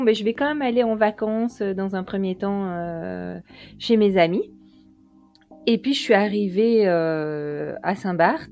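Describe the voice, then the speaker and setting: A woman's voice: medium pitch, mellow. A woman from Belgium, chatting as an interview guest and telling her story with frequent hesitations. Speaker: guest, quantum practitioner.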